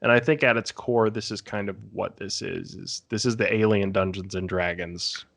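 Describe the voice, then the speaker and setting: A man's voice: lowish voice, fairly even intonation, normal speed, gravelly, a bit bright. A man co-hosting a podcast, talking casually in a panel discussion. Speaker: podcast co-host.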